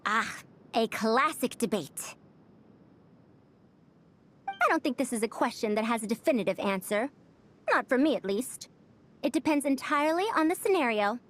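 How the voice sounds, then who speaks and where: Woman playing a high-voiced, articulate scholar. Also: animated, moderate pace, pauses often, slight background noise, natural voice. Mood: thoughtful. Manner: articulate, lecturing. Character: composed, scholarly young woman, a self-assured teacher. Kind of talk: video game voice acting.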